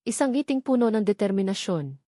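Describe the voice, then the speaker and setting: A woman's voice: mid-range voice, expressive, fairly quick, mellow, no echo, clean studio sound. A woman with a Filipino accent reading a scripted romance story as a dramatic narrator.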